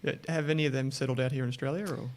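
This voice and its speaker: A man's voice: mid-range voice, steady tone, racing. A man interviewing a guest, asking long conversational questions. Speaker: interviewer, podcast host. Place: Australia.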